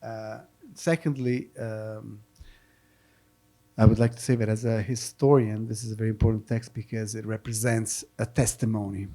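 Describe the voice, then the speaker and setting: A man hosting and interpreting at an event, speaking off the cuff in a halting, hesitant way. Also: fairly low pitch, flat delivery, mellow. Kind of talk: unscripted event talk.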